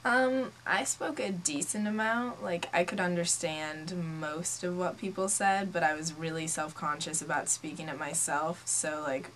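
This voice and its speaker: A woman's voice: lowish voice, steady tone, normal speed, few pauses, a bit bright, a little hiss or hum, low-quality recording. A nineteen-year-old woman from Norway, a native Norwegian speaker, answering interview questions in a casual way with lots of "like". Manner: casual, frequent "like" as filler.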